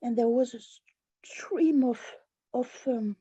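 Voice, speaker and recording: A woman's voice: a little high, slow pace, mellow, slightly rough, dry recording. A woman giving an intense, tumbling personal share in a meeting on a video call, at times dropping to a whisper. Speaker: newcomer meeting member. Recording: dull lo-fi dry call audio.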